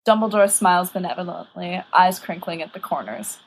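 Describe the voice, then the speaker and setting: A woman's voice: lowish voice, lively intonation, brisk, slight background noise, rough recording. A woman reading a story aloud as scripted audiobook narration. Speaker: audiobook reader.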